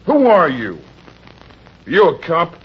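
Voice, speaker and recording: An actor playing a frightened, down-on-his-luck husband and father in old-time radio crime drama, with an American accent, a husky voice and stammering, pleading delivery. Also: a little high, wildly swooping pitch, slow, exaggerated voice. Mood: panicked, defensive. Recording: dull, lo-fi old radio recording.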